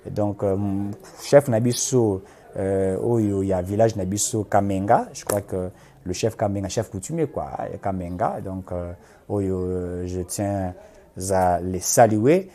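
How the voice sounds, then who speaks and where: A man's voice: low-pitched, unhurried, mellow. A man with a Congolese accent speaking as a political activist in an interview, emphatic and impassioned, repeating points and switching between two languages.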